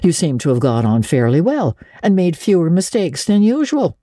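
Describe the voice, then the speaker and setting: A stern older woman acted by a storybook reader speaks in a low, mellow register. She is brisk, practical and dryly sarcastic, with no patience for nonsense. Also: sing-song, no echo, studio quality. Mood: dry sarcasm. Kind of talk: dramatic audiobook reading of classic children's literature.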